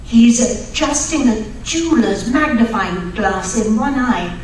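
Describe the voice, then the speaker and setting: Female actor for plucky, educated Victorian lady in old-time radio drama, high voice, composed manner, distant echoey recording with faint noise. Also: measured pace, a little mellow, close to an everyday voice. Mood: hushed alarm. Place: live stage performance at radio club event.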